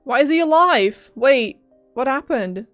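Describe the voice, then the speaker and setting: A woman's voice: sits high, measured pace, no echo. Blunt young woman streaming a game. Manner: blunt, flippant, deadpan. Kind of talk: casual gameplay commentary. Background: faint game music.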